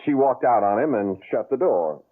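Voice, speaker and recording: An actor playing an easygoing, affectionate young husband who banters and reassures, in old-time radio drama style. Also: male voice, mid-range voice, animated, moderate pace, close to an everyday voice. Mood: breezy. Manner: breezy, bantering. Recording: narrow-band vintage radio drama recording.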